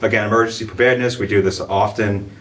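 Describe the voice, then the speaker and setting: A police chief updating a town board in a meeting room, talking loosely with many fillers. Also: male voice, on the low side, steady tone, quick pace, fairly bright tone. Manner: casual, filler-heavy, loose and earnest. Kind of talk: public meeting presentation. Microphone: room microphone from a distance. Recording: distant room mic, faint noise.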